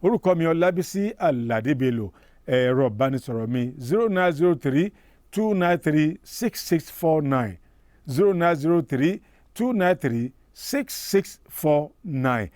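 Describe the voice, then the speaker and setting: A man's voice: fairly high pitch, lively intonation, moderate pace, a little mellow, no echo, clean studio sound. A man hosting a TV program, quoting proverbs and speaking directly to elders watching. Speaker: TV program host. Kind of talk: broadcast presenting.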